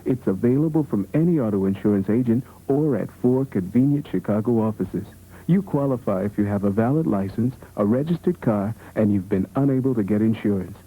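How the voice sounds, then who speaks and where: A man's voice: on the low side, lively intonation, fairly quick, slightly rough. An American man reading a scripted informational commercial as announcer. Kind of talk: scripted public information ad read. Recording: tinny band-limited 1970s broadcast tape.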